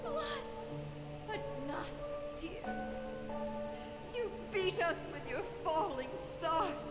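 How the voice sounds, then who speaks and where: High-voiced, very slow praying desert mother. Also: sing-song, exaggerated voice. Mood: bitter lament. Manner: solemn, slow, poetic prayer. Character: sorrowful devout desert mother praying for her child. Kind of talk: dramatic game voice acting. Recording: echoey, with loud music behind.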